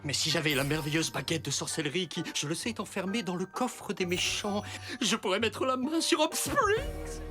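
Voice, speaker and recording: A woman's voice: medium pitch, sing-song, normal speed, fairly bright tone. A woman voicing a scheming male villain posing as a substitute teacher in a cartoon, sly and prone to stammering when caught out. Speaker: dub actor in a children's cartoon. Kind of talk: animated cartoon dub acting. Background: background music.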